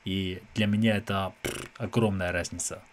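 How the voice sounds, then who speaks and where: A young man from England, a native English speaker with an English accent, giving casual reaction commentary in an animated, slangy way with English interjections. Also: low voice, normal speed, over a noisy crowd. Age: young adult. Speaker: reaction video host. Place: small room at home. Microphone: desk microphone close in front, headphones on.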